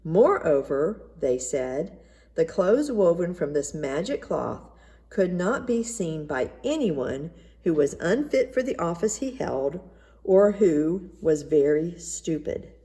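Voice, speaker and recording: A woman reading a children's story aloud in an expressive, measured narrating style. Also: fairly low pitch. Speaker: storybook reader.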